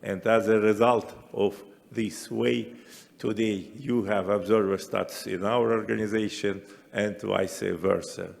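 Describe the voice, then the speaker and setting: A man giving a formal ceremonial speech as a delegate, in deliberate, broken phrases with non-native grammar. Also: fairly low pitch, creaky, long reverb tail. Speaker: parliamentary assembly delegate. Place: large assembly hall. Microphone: podium microphone.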